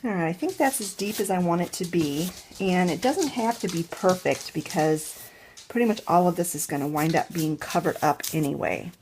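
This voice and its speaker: A woman's voice: on the low side, moderate pace, a little mellow, a little hiss or hum. A woman hosting a casual home craft tutorial, explaining each step as she thinks aloud, with a congested, stuffed-up nose. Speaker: crafting channel host.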